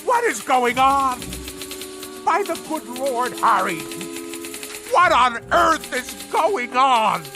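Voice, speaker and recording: An actor playing a pompous, irascible middle-aged boss in a radio drama, blustering in nautical turns of phrase like a sea captain. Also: male voice, very high voice, very animated, slow pace, heavily acted. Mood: blustering, irate. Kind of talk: theatrical radio drama acting. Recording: radio drama with music underneath.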